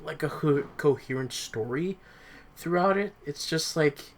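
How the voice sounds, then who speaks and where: A man's voice: fairly high pitch, lively intonation, a little slow. A young adult man co-hosting a podcast in casual chat, often repeating words as he works out his thought. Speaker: podcast co-host. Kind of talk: casual chat reviewing audio dramas.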